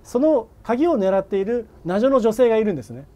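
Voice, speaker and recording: A man speaks with a soft, mellow tone and a very lively, rising and falling melody. He explains things politely as an interview guest, feeling his way through the sentences on a video call. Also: sits high, fairly quick. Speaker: film journalist guest.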